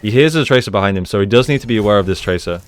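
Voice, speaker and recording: A man's voice: lowish voice, expressive, very fast, clear, resonant tone. A man giving casual, explanatory gameplay commentary as an esports coach, using gamer slang. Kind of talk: live gameplay commentary and breakdown.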